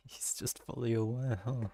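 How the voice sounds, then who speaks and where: A man's voice: medium pitch, fairly even intonation, takes it slowly, gravelly, mellow, no room sound, faint music behind. A man giving casual gameplay commentary, musing aloud to himself in short reactions. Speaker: gamer playing through a story quest. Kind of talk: casual game playthrough commentary.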